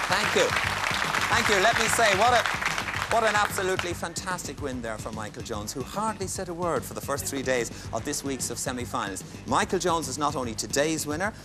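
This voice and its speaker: Brisk quiz-show host, man. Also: sits high. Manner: crisp, formal announcing, lifts into cheer for winners. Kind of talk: brisk game-show hosting. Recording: studio audience and background noise.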